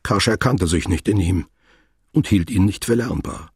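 Sober literary radio-play narrator, low register, heavily husky voice, formal and deliberate. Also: male voice, no echo, studio quality, full character voice. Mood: sober, measured. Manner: measured, formal, wordy.

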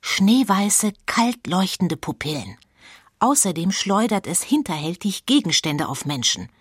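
Nosy newspaper reporter, raspy low voice, suspenseful narration, dry sound, children's radio drama. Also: female voice, very animated, unhurried, no echo. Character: forward, nosy female newspaper reporter narrating a spooky adventure.

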